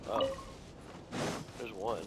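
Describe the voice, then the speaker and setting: A man's voice: drawn-out words, heavy background noise. A man giving casual, muttered gameplay commentary as he plays a video game. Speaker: gaming video creator playing through a game. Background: loud video game music.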